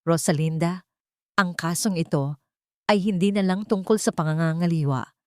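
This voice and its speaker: A woman narrator plays a serious male investigator in a romance audio drama. The delivery is grave, deliberate and direct, in a low female register with a dry sound. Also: no echo, natural voice.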